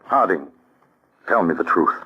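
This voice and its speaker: A middle-aged army colonel, lovesick and anxious, in a vintage radio play. His voice is low but swings widely in pitch as he halts and pleads. The old broadcast recording is dull and lo-fi. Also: expressive, a little slow, slightly rough, exaggerated voice. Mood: troubled. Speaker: actor in radio drama. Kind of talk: 1940s radio acting.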